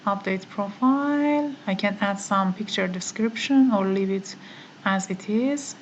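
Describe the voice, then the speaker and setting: A woman whose first language is Farsi, giving a step-by-step online course tutorial, talking methodically and pausing on "uh" often. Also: medium pitch, lo-fi sound, a little hiss or hum. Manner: slow, methodical, with frequent "uh" pauses. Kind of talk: screen-recorded software tutorial.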